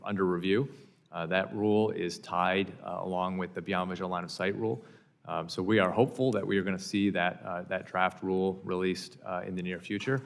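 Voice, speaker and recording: A man testifies formally in a low-pitched voice. He talks quickly but stays polite and careful in wording. Long room reverb surrounds his voice. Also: creaky. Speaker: witness at hearing. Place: hearing room.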